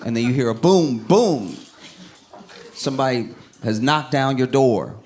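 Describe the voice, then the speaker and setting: A man doing a stand-up routine, telling a story with animated bursts and acting out scenes. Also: medium pitch, unhurried. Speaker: stand-up comedian. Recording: live stage recording with audience noise. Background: audience laughter.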